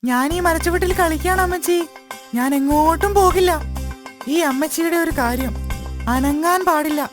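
A woman's voice: very high voice, normal speed. A sulky baby elephant boy. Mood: grumbling. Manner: grumbling, sulky. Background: loud children's music.

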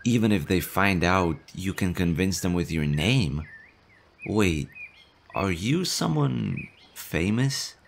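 A man voicing a timid young male slime monster in an audio roleplay, nervous and stammering, quick to worry. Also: sits low, animated, gravelly, slight background noise, exaggerated voice. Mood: worried. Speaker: voice actor.

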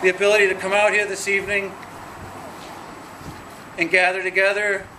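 A man's voice: high voice, monotone, sharp tone, slight background noise. A man leading an earnest spoken prayer at a nighttime outdoor vigil in a gazebo, recorded by a distant camera. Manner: earnest, measured praying.

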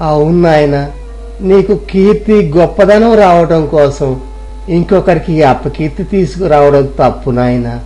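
A man's voice: fairly high pitch, moderate pace, warm tone, lightly acted. A man voicing an old village father who sternly advises his son. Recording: music playing behind.

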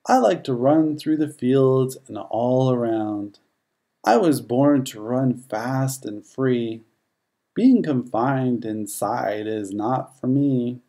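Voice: medium pitch, animated, takes it slowly, mellow. A narrator voicing a free-spirited, plainspoken collie in a rhyming children's storybook. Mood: earnest. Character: free-spirited collie dog who loves outdoors.

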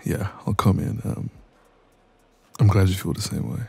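A man playing a dominant, cocky young ex-boyfriend in an intimate audio roleplay, soft and breathy, smug and casual, close to the microphone. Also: sits low, moderate pace, no room sound, exaggerated voice. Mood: teasing, subdued.

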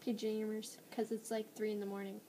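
A woman's voice: mid-range voice, monotone, fairly quick, mellow, a little hiss or hum, rough recording. Teasing teenage girl, half-whispered. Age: teens. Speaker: friend joining the vlog. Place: small blanket fort indoors at night. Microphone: laptop webcam, seated beside.

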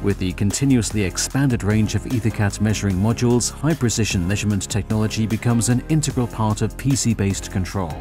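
A man reading a scripted news voice-over in a measured, formal way. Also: on the low side. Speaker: narrator. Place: studio voice-over. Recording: voice-over over loud music. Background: music.

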